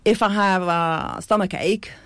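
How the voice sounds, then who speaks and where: A woman's voice: medium pitch, steady tone, unhurried, smooth, no room sound. A woman from Iceland, a clinic founder speaking as a radio studio guest, thoughtful and earnest, explaining her ideas through everyday analogies. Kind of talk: studio interview.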